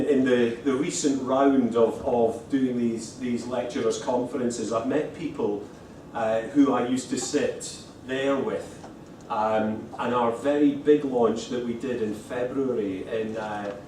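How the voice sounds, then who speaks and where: A man's voice: medium pitch, a little mellow, slight background noise. A middle-aged man with a Scottish accent giving an informal conference talk, stopping and restarting often. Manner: hesitant, many restarts and fillers. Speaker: speaker at a lecturers' conference. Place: conference room. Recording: echoey room from far off.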